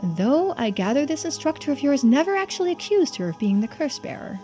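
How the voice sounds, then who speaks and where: A woman voicing a composed, formal investigator in a video game, speaking in a measured, polite, questioning way. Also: high-pitched, big pitch swings, dark tone, faint music behind, voice pushed up. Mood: thoughtful.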